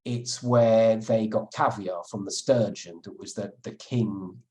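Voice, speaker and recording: A man with a lowish voice answers an interviewer's questions about his book. He speaks thoughtfully, often stalling on fillers and restarting his sentences. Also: a little slow, darkish tone. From England. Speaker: author interviewed as guest.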